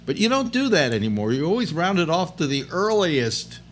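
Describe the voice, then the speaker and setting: A man in his late seventies hosting a casual chat, rambling and halting with many restarts. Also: a little high, big pitch swings, a little hiss or hum. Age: 70s. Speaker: host.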